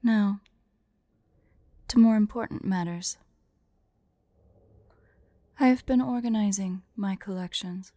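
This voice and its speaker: Actress as tsundere female dragon in human form, ASMR roleplay. Soft, dark-toned, slow, haughty and teasing. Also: mid-range voice, no echo, studio quality. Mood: troubled, confiding. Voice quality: soft, mellow.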